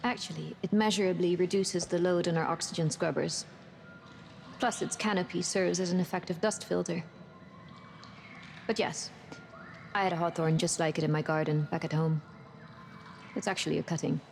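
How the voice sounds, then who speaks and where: An actress playing a no-nonsense spaceship engineer in a game, speaking in a formal, matter-of-fact manner. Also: female voice, on the low side, fairly even intonation, moderate pace, creaky, long pauses, a little mellow. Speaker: ship's engineer. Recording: long hall reverb, faint music behind.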